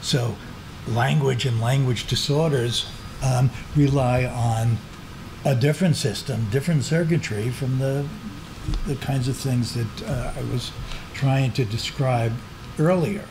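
A man's voice: medium pitch, unhurried. Guy on a science panel, explains carefully, table mic, noticeable room noise. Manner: thoughtful, explanatory, with fillers. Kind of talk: academic panel discussion. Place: large meeting room with seated audience. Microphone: table microphone.

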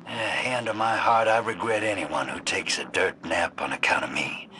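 An actor voicing a shady, streetwise rogue and survivor in a video game, with slangy, conspiratorial swagger. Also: male voice, low-pitched, fairly even intonation, normal speed, sharp tone, slight background noise. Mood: chummy, confiding. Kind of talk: video game character dialogue.

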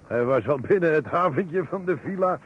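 A man's voice: fairly high pitch, lively intonation, moderate pace, close to an everyday voice. A man playing a talkative, slightly wary local witness in a Dutch radio drama. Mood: chatty, wary. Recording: muffled, noisy old radio recording.

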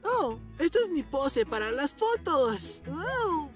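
An actor voicing an excitable, easily flustered young pizza-delivery motorbike in a children's cartoon, full of exclamations. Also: high-pitched, slow, soft background music, heavily acted. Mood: proud, excited. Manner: exclamatory, fretful and eager.

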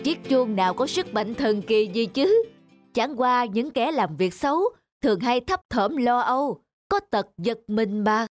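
A woman's voice: on the high side, expressive. Woman voicing a stern magistrate over music. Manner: measured, formal, authoritative. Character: stern, shrewd male magistrate of old Vietnam. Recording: music behind the voice.

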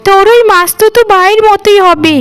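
A spiteful village gossip woman from a cartoon, acted out by a female performer. Her voice is very high, and she talks in a sneering, dismissive way, with music playing underneath. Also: a little fast, a bit bright. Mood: envious, scornful. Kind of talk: Bengali cartoon voice acting.

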